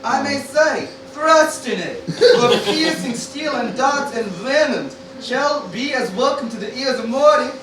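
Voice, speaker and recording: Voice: sits high, lively intonation, unhurried, over a noisy crowd. An actor playing a mournful, earnest little creature messenger, declaiming melodramatically in an amateur Shakespeare radio parody. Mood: dutiful, grim. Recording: very echoey, distant mic, background noise.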